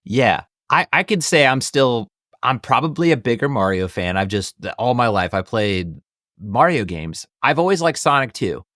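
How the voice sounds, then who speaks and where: A man's voice: mid-range voice, very animated, moderate pace, a bit bright, no echo, clean studio sound. A man in his thirties hosting a podcast, chatting casually about films in a rambling, emphatic way. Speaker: podcast host. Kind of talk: casual film review chat.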